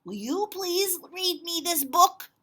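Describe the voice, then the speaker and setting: An eager young storybook dragon who can't wait to finish his book, pitched high and calling out loud, his words stretched slow, as a woman puts him on while reading to children. Every line sounds like excited pleading. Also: full character voice.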